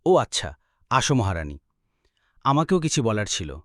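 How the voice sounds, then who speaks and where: A man's voice: medium pitch, big pitch swings, normal speed, fairly bright tone, dry recording, studio quality. A man voicing a protective, dutiful king in a cartoon story, formal and declamatory. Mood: solemn. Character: protective, dutiful king warning his family. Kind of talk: dramatic cartoon story acting.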